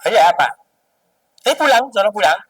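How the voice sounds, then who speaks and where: A man's voice: high-pitched, lively intonation, normal speed, fairly bright tone, slightly rough, no room sound, recorded from a distance, exaggerated voice, poor audio. A kindly male religious teacher played by a storyteller, grateful and easily flustered. He exclaims and repeats himself as in a children's tale, with the voice some way from the mic.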